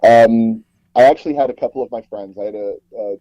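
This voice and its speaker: A man being interviewed as a guest, telling personal anecdotes in a rambling, halting way and often circling back to rephrase. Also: mid-range voice, mellow, dry recording, low-quality recording. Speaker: guest audio drama producer and actor.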